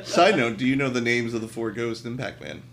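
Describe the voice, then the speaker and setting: A man's voice: medium pitch, fairly quick, crowd noise. A man chatting casually as a podcast co-host in a wry, teasing manner. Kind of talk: casual chat.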